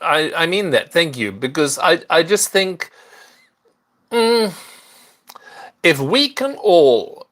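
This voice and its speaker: A man's voice: fairly high pitch, expressive. An older man from South Africa, hosting a casual livestream and chatting earnestly with viewers. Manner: unhurried, earnest, warm greetings to viewers. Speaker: livestream host.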